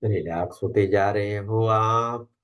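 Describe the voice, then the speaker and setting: A man with an Indian accent leading a guided meditation in a calm, unhurried, soothing way, repeating phrases with long pauses. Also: fairly low pitch.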